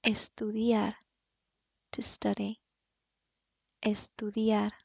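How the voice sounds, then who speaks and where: A woman with a Spanish accent, a native Spanish speaker, teaching Spanish as a language teacher and pronouncing each word slowly and clearly. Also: medium pitch, completely flat delivery, no room sound. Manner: slow, clearly enunciated word drills. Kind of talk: language lesson. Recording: dull, dry voice-over.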